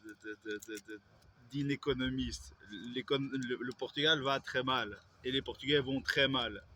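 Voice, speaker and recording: A man's voice: mid-range voice, steady tone, moderate pace, bright, forceful tone, slight background noise. A man, vlogging in a rambling way, with hesitations and self-corrections. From Belgium. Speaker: YouTuber. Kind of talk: casual vlog monologue.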